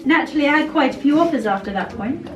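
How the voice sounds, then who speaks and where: A high-voiced ghost woman, played by a woman performer recounts her hanging in slow, dramatic storyteller fashion. She sounds far off in an echoing stone room. A crowd of visitors surrounds her. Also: expressive, dull audio, background noise. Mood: mournful. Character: young woman ghost, hanged for father's murder. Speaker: castle tour performer. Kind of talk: theatrical storytelling. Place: indoor castle tour space.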